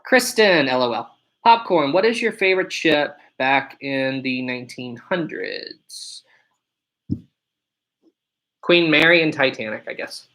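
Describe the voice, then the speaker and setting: A young man with a deep voice hosting a casual live stream, reading viewer questions aloud and answering them one by one. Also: animated, a little slow, pushed and bright.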